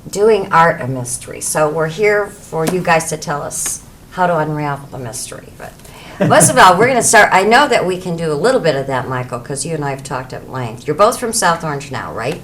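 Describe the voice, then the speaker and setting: A woman's voice: low-pitched, expressive, brisk, a bit bright, a little hiss or hum. A woman hosting a local television interview with artists, chatty and encouraging as she asks questions. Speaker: host.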